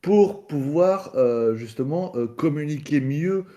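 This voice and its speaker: A man's voice: a little high, expressive, takes it slowly, warm tone. A man raising questions in a casual debate, speaking hesitantly. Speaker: debate participant.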